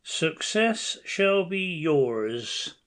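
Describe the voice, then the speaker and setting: A man's voice: a little high, sing-song, extremely slow, fairly bright tone, exaggerated voice. A man playing a commanding king of the gods, declaiming in a stately, formal way in an amateur audio play.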